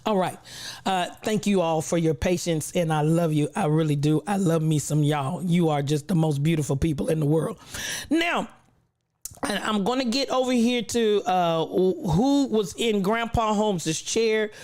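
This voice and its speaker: A woman's voice: fairly low pitch, normal speed, darkish tone. Effusive woman livestream host, recovering voice. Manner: effusive, affectionate, playful. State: recovering from a lost voice, not full voice. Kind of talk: casual livestream chat, greeting viewers by name.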